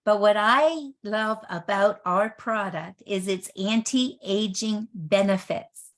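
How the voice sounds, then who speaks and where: A woman in her early sixties giving a motivational health product presentation, speaking emphatically and deliberately and repeating phrases for effect. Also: mid-range voice. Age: early 60s.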